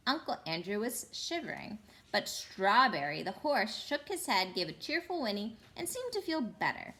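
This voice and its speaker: Female storyteller, highish bright voice, very expressive and emphatic children's book narration. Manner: dramatic, emphatic. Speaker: storyteller reading aloud.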